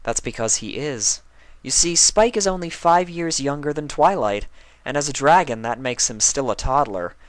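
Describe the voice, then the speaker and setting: A man voicing a wise, regal pony princess explaining things in a measured way. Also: medium pitch, expressive, no echo, pitched above the performer's own voice, lightly acted.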